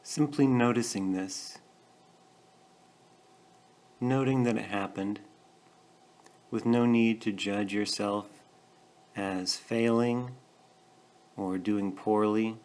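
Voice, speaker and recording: Guy doing guided meditation, lowish voice, really slow and gentle, lots of pauses. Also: fairly even intonation. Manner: gentle, measured, pausing between short phrases. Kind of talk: guided meditation, calm instruction.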